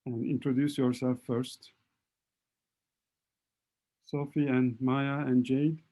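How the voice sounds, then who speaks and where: A man moderating an online talk, speaking haltingly and correcting himself as he introduces the next presenters. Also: medium pitch, level tone, warm tone. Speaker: webinar moderator. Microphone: computer mic on a video call.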